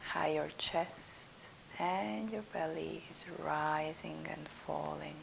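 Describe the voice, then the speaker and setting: A woman leading a guided relaxation meditation as a yoga instructor, soothing and soft, breathy at phrase ends, with long pauses between phrases. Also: low voice. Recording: steady background hiss.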